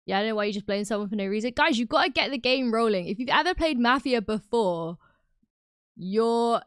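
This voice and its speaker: Animated British woman streamer, dry mic. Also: fairly high pitch, no room sound. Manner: animated and exclamatory, reacting to chat. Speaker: livestreamer. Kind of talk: casual live stream chat.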